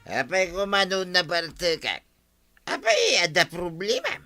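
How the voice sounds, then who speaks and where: An actor playing a grumpy, stubborn village drunk who argues indignantly in a radio comedy. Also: male voice, sits high, wildly swooping pitch, a little slow, bright, forceful tone, heavily acted. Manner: indignant, argumentative. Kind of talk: comedic radio drama acting.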